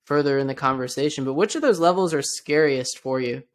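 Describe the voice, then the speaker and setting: A man hosts a podcast and asks his guest casual, playful questions. He sounds relaxed and conversational at a middle pitch. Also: brisk. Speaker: podcast host.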